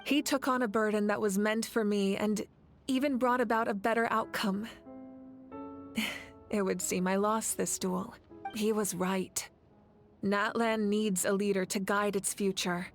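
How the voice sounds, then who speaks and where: A woman's voice: medium pitch, steady tone, close to an everyday voice. Woman playing a solemn, resolute female leader over music. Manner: measured, earnest, formal delivery. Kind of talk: dramatic video game voice acting. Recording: orchestral game music behind.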